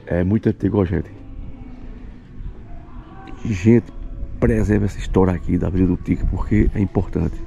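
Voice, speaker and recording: Guy vlogging out on a village street, low gravelly voice, exclaims a lot. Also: fairly even intonation, moderate pace, long pauses, mellow, dull audio. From Pernambuco, Brazil. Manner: exclaiming, talks to viewers. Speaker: travel vlogger.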